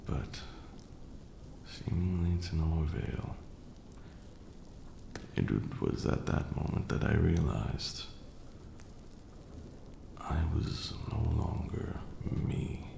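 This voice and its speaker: An ancient, brooding vampire lord, played by a man, murmurs in an extremely low, heavily gravelled voice. He is cruel and grieving, drawing out his words slowly and theatrically in an ASMR roleplay, with rainy ambience clearly behind him. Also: expressive, reverberant, background noise, heavily acted. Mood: sorrowful, bitter. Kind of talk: slow dramatic ASMR roleplay monologue.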